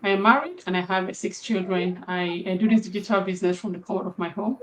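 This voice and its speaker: Friendly woman, slightly halting. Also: mid-range voice, a bit bright, poor audio. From Nigeria.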